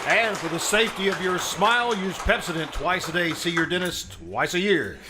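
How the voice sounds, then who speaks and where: A man's voice: sits high, animated, normal speed, over a noisy crowd, heavy background noise. A man playing a polished 1940s network radio announcer, reading formal sign-offs. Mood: hearty, formal. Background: loud music.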